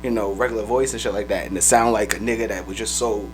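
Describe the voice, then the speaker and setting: A man co-hosting a podcast, telling loose, profane stories in casual chat, his voice a little raspy. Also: on the low side, steady tone, soft background music. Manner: fast, loose, profane storytelling. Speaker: podcast co-host.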